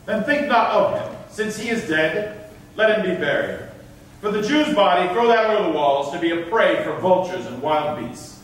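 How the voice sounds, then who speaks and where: An actor playing a stern, commanding governor in a classical stage tragedy, declaiming with authority. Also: male voice, sits high, expressive, normal speed, distant mic. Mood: grave. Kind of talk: classical verse stage acting. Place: large indoor auditorium stage. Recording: very echoey, noisy theatre from afar.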